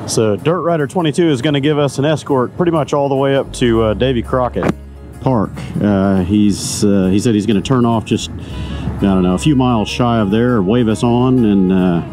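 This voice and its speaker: A man riding a motorcycle and chatting casually to the camera through a helmet mic, rambling, loose and quick to swear and chuckle. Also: on the low side. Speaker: motorcycle vlogger. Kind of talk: casual ride commentary. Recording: music playing under the voice.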